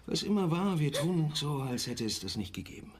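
A man playing a gentle, evasive father and husband in a naturalistic radio drama, soft and hesitant. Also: a little high, sing-song, a little fast, a little hiss or hum. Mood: soothing.

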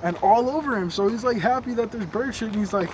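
A young man telling a creepy story in casual slang, recorded in a dark room on a camera a few feet away. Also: high-pitched, fast talker, dull audio. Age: young adult. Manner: slangy, laid-back, joking. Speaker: co-host. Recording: noisy camera audio.

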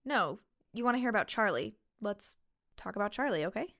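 A woman playing a guarded, grieving young waitress in a fiction podcast, speaking in a halting, matter-of-fact way. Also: medium pitch, animated, fairly bright tone, dry recording, studio quality. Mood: wistful, guarded. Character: guarded young waitress grieving her missing sister, weary. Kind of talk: fiction podcast drama acting.

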